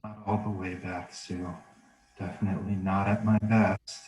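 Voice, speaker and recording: Guy doing possessed dead husband in a horror script read, slow creepy menace, really low voice, roomy echo. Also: level tone, darkish tone, slight background noise, lightly acted. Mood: weary, eerie. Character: reanimated corpse of husband possessed by sadistic killer spirit. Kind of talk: screenplay table read.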